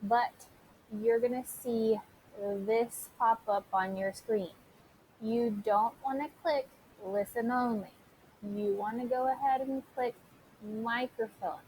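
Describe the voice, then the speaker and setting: Unhurried woman teacher giving a tutorial. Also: on the high side, fairly even intonation, a little mellow, slight background noise, poor audio. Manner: step-by-step instructional, addressing listeners directly. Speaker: teacher recording a tutorial for students. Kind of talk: informal screen-recorded software tutorial.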